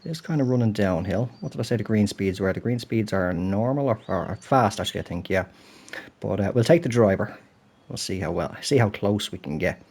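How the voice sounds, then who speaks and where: A man's voice: fairly low pitch, animated, fairly quick, gravelly, darkish tone, husky. Chatty British man, slightly stuffed up. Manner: chatty, apologetic, thinking aloud while playing. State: slightly throaty and stuffed up from a cold. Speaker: gaming channel host. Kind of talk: relaxed golf game commentary.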